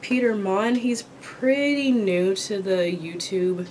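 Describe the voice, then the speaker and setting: A young woman from Kentucky chatting casually while eating, her voice raspy from a sore throat, rambling with many ums. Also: mid-range voice, slow, darkish tone, a little hiss or hum. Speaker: vlogger. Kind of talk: casual mukbang chat. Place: small indoor room with dark curtain backdrop.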